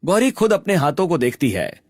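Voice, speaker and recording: A man reads a scripted cartoon story as narrator. His pitch is a little high and moves about in a lively way. Music runs underneath. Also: moderate pace, breathy edge, dry recording.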